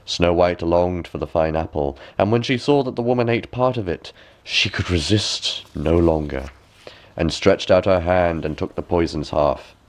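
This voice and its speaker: A man's voice: low-pitched, moderate pace. A man reading a fairy tale as a bedtime story, with dramatic, careful phrasing.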